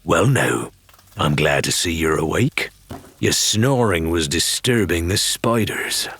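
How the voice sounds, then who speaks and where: A man's voice: sits low, lively intonation, takes it slowly, a bit bright, slightly rough, full character voice. A man playing a burly, jovial pirate-tavern barkeeper in an audiobook, with hearty, folksy rustic speech. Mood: jovial teasing. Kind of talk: audiobook character voice.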